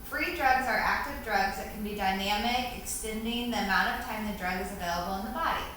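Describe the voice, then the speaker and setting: A woman with a bright, forceful voice recites scripted teaching material in a formal way. The recording is very echoey, with faint noise behind her. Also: medium pitch, brisk. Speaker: co-presenter answering questions. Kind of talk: scripted educational presentation, read out.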